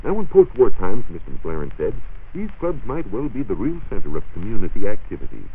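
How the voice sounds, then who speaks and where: American man, very low animated voice, 1940s radio science reporter, tinny phone-like old recording. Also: a little fast, slightly rough. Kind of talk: 1940s radio science talk, semi-scripted. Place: radio studio. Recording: muffled, narrow-band 1940s radio recording.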